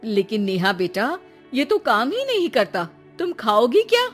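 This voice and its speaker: A woman's voice: on the high side, huge pitch swings, measured pace, faint music behind. A woman voicing a kindly, fretful mother-in-law in a cartoon story. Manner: fretful, pleading.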